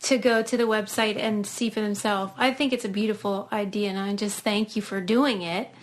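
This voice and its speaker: A woman's voice: medium pitch, steady tone, moderate pace. A woman hosting a podcast, chatting casually and appreciatively with her guest. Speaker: podcast host. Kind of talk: casual interview chat.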